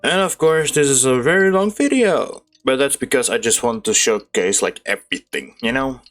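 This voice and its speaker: A woman's voice: rumbling low, lively intonation, normal speed. Laid-back woman chatting over a game. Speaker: streamer playing a video game. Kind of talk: casual gameplay commentary. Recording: faint game music behind.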